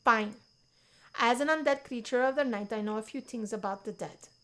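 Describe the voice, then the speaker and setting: A woman's voice: a little high, normal speed, fairly bright tone, natural voice. A woman voicing a confident, teasing young college woman with a sly, theatrical manner in a visual novel. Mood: sly, dramatic.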